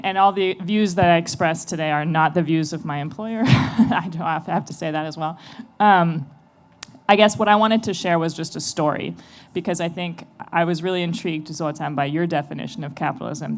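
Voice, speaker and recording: An American woman speaking as a panelist and investor, chatty and self-deprecating in a panel discussion. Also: low-pitched. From United States. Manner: chatty, self-deprecating, laughs between thoughts.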